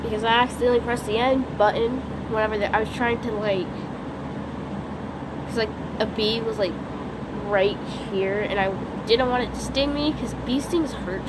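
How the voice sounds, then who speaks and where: A woman's voice: on the high side, normal speed. Congested teen girl vlogging outdoors. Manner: rambling, lots of "like" fillers. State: congested, sniffly, sore throat. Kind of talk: unscripted rambling vlog. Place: outdoors next to a lake, during a lightning storm. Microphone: phone camera. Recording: outdoor phone recording with background noise. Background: outdoor background noise, thunder.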